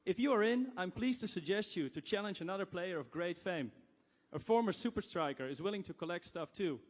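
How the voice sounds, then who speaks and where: A man from the Netherlands with a fairly high voice recites a rhyming poem in an earnest, sing-song way. He stands in the audience of a launch event, and his voice echoes through the big hall. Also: lively intonation, brisk. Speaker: audience member. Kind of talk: reading a rhyming poem aloud.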